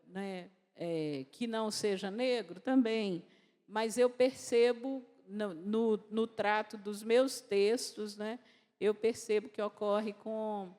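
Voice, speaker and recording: A Brazilian woman, an author speaking at a literary festival, talking unscripted in a measured way with pauses and restarts. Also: on the high side, darkish tone. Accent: Brazilian Portuguese. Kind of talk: unscripted talk answering audience questions. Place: lecture hall at a festival. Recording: long reverb of a large hall.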